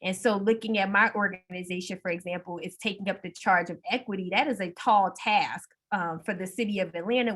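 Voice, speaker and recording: A woman speaking as a panelist and school district equity leader on a video call, in a measured, thoughtful way with frequent ums. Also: medium pitch, a bit bright. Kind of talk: virtual panel discussion.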